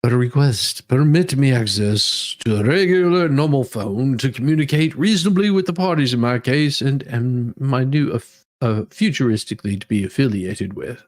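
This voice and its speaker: A man's voice: medium pitch, expressive, close to an everyday voice. Man playing a theatrical, demanding woman inmate. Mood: pleading. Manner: theatrical, halting, emphatic demands. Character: dramatic, demanding woman inmate pleading her own legal case. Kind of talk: mock-dramatic reading of a letter.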